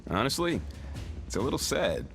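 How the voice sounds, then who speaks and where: An actor playing a candid squad agent in a video game. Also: male voice, mid-range voice, wildly swooping pitch, normal speed, vocal fry, fairly bright tone, faint music behind, exaggerated voice. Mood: wry disappointment.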